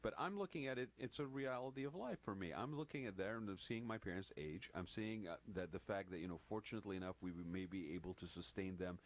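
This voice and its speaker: A man's voice: fairly low pitch, fast talker, hardly any pauses. A man in his fifties co-hosting a casual panel talk, often restarting words and ending points with a "right?" tag. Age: 50s. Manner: repetitive restarts, rhetorical "right?" tags. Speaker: podcast co-host.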